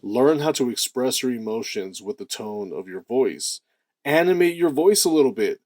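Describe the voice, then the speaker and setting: A man with a deep voice giving a lively motivational talk, stressing key words and slowing down to draw out phrases for effect. The recording is dry and close, with no room sound around him. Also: no echo. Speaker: self-improvement channel host.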